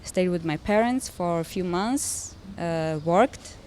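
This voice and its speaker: A woman's voice: on the low side, animated, takes it slowly, a little mellow. A woman from Armenia and Russia, a native Armenian speaker, casually telling her own story as an interview guest. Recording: noticeable background noise and ambience.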